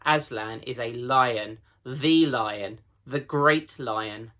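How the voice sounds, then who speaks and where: A man voicing a gruff, proud old talking beaver in a children's storybook, folksy and emphatic. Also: mid-range voice, very slow, pushed and bright.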